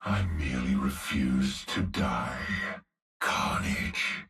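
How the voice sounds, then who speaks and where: A man voicing a brutal, merciless cyborg brute through a mask filter, deep and gravelly. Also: slow, fairly bright tone, reverberant, distant mic, soft background music, full character voice. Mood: menacing.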